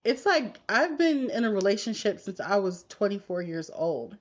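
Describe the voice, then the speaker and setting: A woman's voice: mid-range voice, very animated, normal speed. Candid woman in her thirties, casual vlogger. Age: 30s. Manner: candid, conversational, talking straight to women viewers. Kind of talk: casual confessional chat while getting ready.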